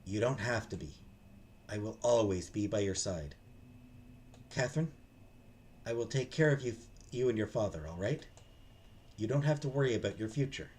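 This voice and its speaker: A man voicing a gentle, devoted, protective male character from a visual novel, soft, coaxing and reassuring. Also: fairly low pitch, expressive, moderate pace, long pauses, slight background noise, lightly acted.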